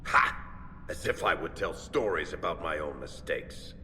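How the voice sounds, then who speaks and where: A man playing a sardonic, world-weary male dwarf rogue in a video game, with dry, expressive delivery. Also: extremely low pitch, measured pace, heavily acted. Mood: dry, resigned. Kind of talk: video game cutscene acting. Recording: echoey cavern reverb, background noise.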